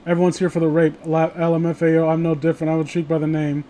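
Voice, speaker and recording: Male host, fairly high voice, loose sweary banter over light background noise. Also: completely flat delivery, quick pace, mellow. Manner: rambling, foul-mouthed, joking. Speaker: host of a horror story reading show. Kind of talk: casual chat and commentary on stories. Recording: noisy home recording, clear background hiss.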